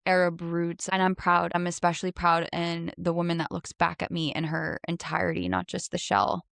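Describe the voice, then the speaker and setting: A woman's voice: fairly low pitch, flat delivery, moderate pace, creaky, fairly bright tone, dry recording. An American woman answering conversationally as a guest in a podcast interview. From United States.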